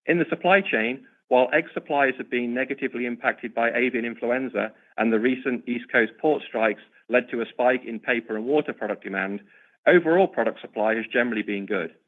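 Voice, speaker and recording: Male executive for corporate earnings remarks, mid pitch, formal and measured, heard over a narrow conference-call line. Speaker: company executive.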